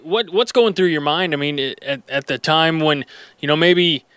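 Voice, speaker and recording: A male host with a smooth, resonant voice, pitched a little higher than most men. He asks long, halting questions full of restarts and fillers. The recording is close and dry, with no room sound. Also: fairly bright tone, no echo. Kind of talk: interview questions.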